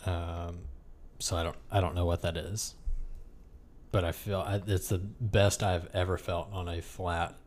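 A man's voice: deep voice, level tone, unhurried. A man hosting a casual podcast, chatting in a joking, sarcastic way with his co-host. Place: room with another person.

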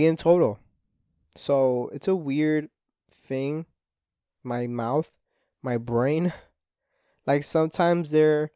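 A man's voice: mid-range voice, dark tone, clean tone, no echo, studio quality. A young man, a Spanish speaker, hosting a casual solo podcast, rambling and self-deprecating with lots of swearing and "like" fillers. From Spanish-speaking home. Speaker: podcast host.

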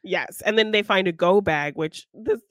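Female podcast co-host, medium pitch, informal film chat, animated and giggly delivery, dry recording. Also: normal speed, dry recording. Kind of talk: casual film discussion.

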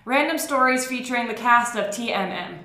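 A woman's voice: on the high side, animated, brisk, sharp tone. A woman co-hosting a podcast with playful, theatrical storytelling and casual banter. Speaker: podcast co-host. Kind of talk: comic dramatic reading and casual podcast banter. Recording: echoey room with music behind.

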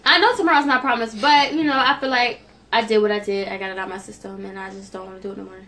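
A woman's voice: mid-range voice, steady tone, fast talker, bright, forceful tone. A woman in her twenties, chatting casually as a podcast co-host and telling her story with laughs. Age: 20s. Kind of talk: casual chat. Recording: noisy home recording.

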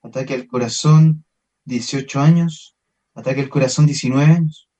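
Actor as mellow, earnest Chilean young man. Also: medium pitch, normal speed, lightly acted. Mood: somber. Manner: questioning, earnest. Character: Manuel, thoughtful, curious, sympathetic young man. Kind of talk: radio drama dialogue.

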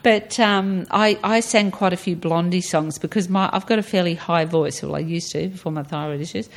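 A woman's voice: fairly low pitch, moderate pace. A middle-aged woman with an Australian accent, chatting casually and explaining things as a podcast guest. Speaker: podcast guest discussing health and personal tastes. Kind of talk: casual chat.

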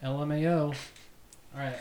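A man's voice: on the high side, flat delivery, moderate pace, a crowd in the background. A man hosting a casual podcast, jokingly reading fan fiction aloud. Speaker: podcast host. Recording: echoey room with noticeable background noise.

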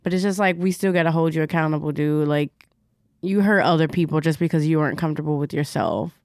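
A woman co-hosting a podcast, chatting in a relaxed, thoughtful, easygoing way. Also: sits low, fast, no room sound, studio quality. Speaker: podcast co-host. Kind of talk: casual chat.

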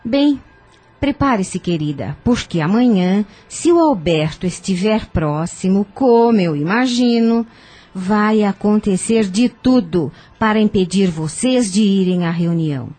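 A woman's voice: mid-range voice, expressive, unhurried, dark tone. An actress with a Brazilian accent playing a kindly, devout older woman in a radio soap, earnest and instructive. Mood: solemn counsel. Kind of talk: radio soap opera acting.

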